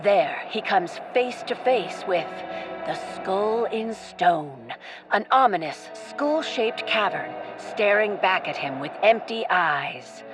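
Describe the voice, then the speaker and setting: A woman narrator tells an adventure story in radio play style. Her medium-pitched delivery is theatrical and suspenseful, carefully scripted. Prominent music plays behind her. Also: lively intonation, takes it slowly, poor audio. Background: loud music.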